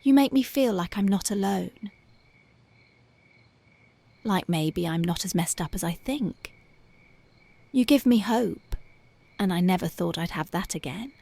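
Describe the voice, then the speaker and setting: A woman voicing a tough but devoted young punk girlfriend in an ASMR roleplay, talking softly and intimately close to the mic, often dropping to a whisper. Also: lowish voice, animated, unhurried, gravelly, pauses often, dry recording, exaggerated voice. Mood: tender, dreamy. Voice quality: soft, intimate, partly whispered. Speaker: voice actress.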